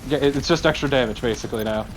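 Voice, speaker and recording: A man's voice: mid-range voice, very fast, slight background noise, low-quality recording. A man co-commentating on a game in casual chat with friends. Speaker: let's play co-commentator. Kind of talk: casual chat over gameplay.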